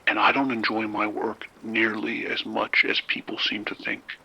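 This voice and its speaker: An actor playing a cold, menacing crime boss in an audio drama, with a rough, husky voice and a measured, blunt, threatening manner. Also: male voice, lowish voice, monotone, pushed and bright, heavily acted, low-quality recording. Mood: cold menace.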